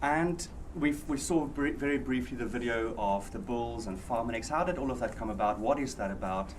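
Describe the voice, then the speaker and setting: A man's voice: mid-range voice, a little fast, a little hiss or hum. A man hosting an onstage interview at an event, asking questions in an effusive, enthusiastic way.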